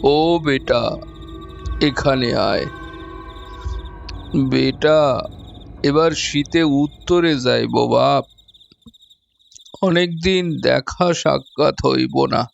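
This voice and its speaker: A man's voice: medium pitch, gravelly, dull audio, full character voice. A man playing an affectionate village father in an audio drama, speaking tenderly in a rural Bengali dialect. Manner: slow, tender, drawn-out. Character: affectionate village father, a jaggery trader, speaking to his son. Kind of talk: audio story acting. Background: background music.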